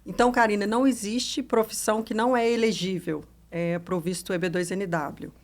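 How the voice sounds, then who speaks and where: Brazilian woman hosting, mid-pitched voice. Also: steady tone, fairly quick. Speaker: podcast host. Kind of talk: conversational interviewing.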